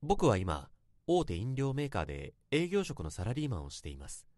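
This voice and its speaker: A man's voice: medium pitch, huge pitch swings, brisk, a little mellow, no room sound, studio quality, faint music behind. An actor playing an earnest salaryman in his thirties, telling his story politely and reflectively in a Japanese radio drama, in a literary style. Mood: reminiscing. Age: 30s. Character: earnest 32-year-old salaryman confessing a bittersweet youthful love.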